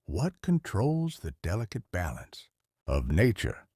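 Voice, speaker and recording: Slow, animated old grandfather storyteller. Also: on the low side, creaky, no echo, studio quality, exaggerated voice. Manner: unhurried, expressive, theatrical delivery. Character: kindly old grandfather storyteller telling Bible stories. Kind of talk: dramatized audio story narration.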